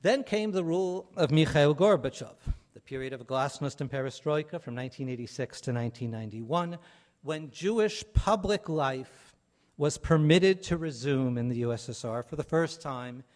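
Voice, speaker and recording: A man speaking as an academic at a conference, delivering a prepared lecture in measured phrases with firm stresses and trailing endings. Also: mid-range voice, animated, a little mellow.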